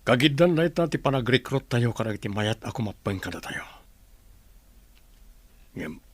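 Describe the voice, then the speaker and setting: A man's voice: mid-range voice, sing-song, normal speed, no echo. A man playing a commanding, scheming gang leader in an Ilocano radio drama.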